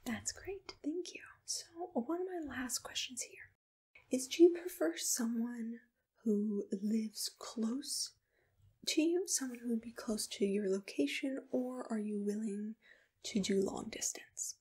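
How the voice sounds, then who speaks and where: A woman softly whispering in a gentle, reassuring, patient way, asking questions as a matchmaker in an ASMR roleplay, close to the microphone. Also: a little high, lively intonation, measured pace. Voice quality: soft breathy whisper. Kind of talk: ASMR personal-attention roleplay, unscripted interview questions.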